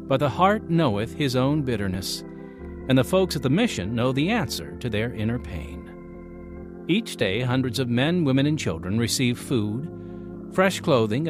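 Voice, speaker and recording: Brief: American male radio announcer, dramatic scripted narration, very expressive intonation, music underneath. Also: mid-range voice. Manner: theatrical, emphatic phrasing.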